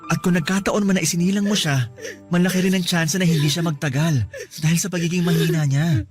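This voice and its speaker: A man with a Filipino accent playing a gentle, grieving, reassuring husband in an emotional radio drama. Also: a little high, steady tone, fast, faint music behind, lightly acted. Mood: firm consoling. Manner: tender, reassuring. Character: gentle, consoling husband grieving a lost baby.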